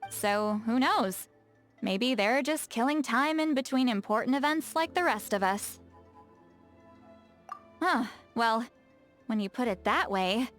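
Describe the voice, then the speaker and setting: A woman voice acting a touchy, lazy, bookish young-seeming shaman in a video game, expressive and defensive, with hesitant asides and self-corrections. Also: high voice, heavily acted. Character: touchy, lazy, bookish female shaman, youthful but secretly very old.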